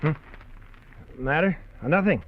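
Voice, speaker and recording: A man's voice: fairly high pitch, lively intonation, unhurried, a little hiss or hum. An actor playing an anxious former crook hiding his past from his wife, in hesitant, earnest 1950s radio drama style. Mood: troubled. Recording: muffled vintage radio broadcast.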